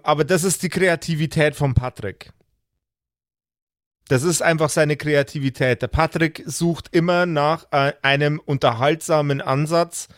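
A man's voice: a little high, dry recording, clean studio sound. A man chatting casually as a podcast host and game master, bluntly and with emphatic repetition and swearing. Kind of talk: casual chat with friends.

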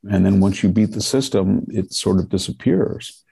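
An older man from California, stuffed up with a cold, giving measured, lecturing political commentary in a podcast conversation. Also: sits low, gravelly, dark tone, breathy edge, low-quality recording.

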